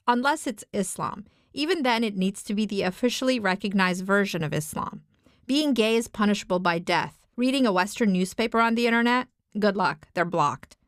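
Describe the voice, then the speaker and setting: Woman reporter from afghanistan, deliberate podcast narration, steady mid voice. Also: medium pitch, no room sound, clean studio sound. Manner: measured, deliberate delivery with pointed emphasis. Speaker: investigative reporter and host. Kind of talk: scripted documentary narration.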